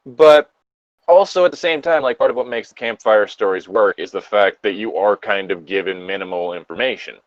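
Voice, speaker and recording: A man's voice: lowish voice, fairly quick, no echo, muffled recording. A man co-hosting a podcast, chatting casually and giving opinions on horror in a rambling, sweary way. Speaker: podcast co-host.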